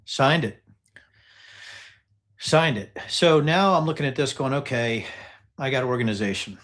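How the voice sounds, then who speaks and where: A man's voice: medium pitch, a little slow, fairly bright tone. A man hosting a casual live political discussion, talking emphatically and often repeating phrases to drive his point. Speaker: host commentator analyzing political text messages.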